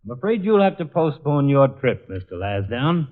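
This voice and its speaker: A male actor as a blunt police lieutenant in an old radio mystery, mid-pitched with a lot of movement in the voice. He is terse and sardonic, heard on a thin, band-limited vintage recording. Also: sing-song, normal speed, narrow, tinny audio, lightly acted. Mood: businesslike. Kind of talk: old-time radio detective drama acting.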